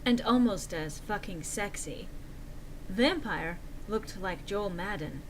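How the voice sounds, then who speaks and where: A woman's voice: medium pitch, animated, slow pace, background noise. A woman giving a theatrical, exaggerated, mock-earnest dramatic reading of fan fiction as narrator.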